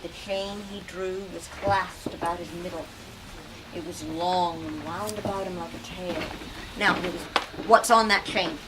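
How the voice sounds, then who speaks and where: A woman leading a lively book talk in a bookstore, asking questions and switching into dramatic readings. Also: on the low side, unhurried. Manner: animated, asks questions, shifts into dramatic reading. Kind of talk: dramatic reading and discussion with children. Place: bookstore event space. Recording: distant mic, noisy room.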